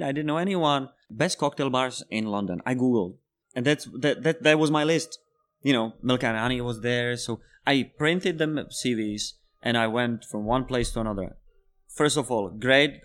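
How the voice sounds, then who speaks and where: A man in his thirties from the Czech Republic, a bartender guest in an interview, speaking English as a second language and telling animated stories with laughter. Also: mid-range voice. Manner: animated storyteller, laughs while telling anecdotes. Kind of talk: casual interview storytelling.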